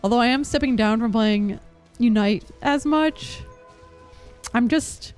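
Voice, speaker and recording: A woman's voice: fairly high pitch, measured pace, a little mellow, faint music behind. A young adult woman chatting casually as she streams a game, rambling in an informal, unsure way. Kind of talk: casual live stream chat.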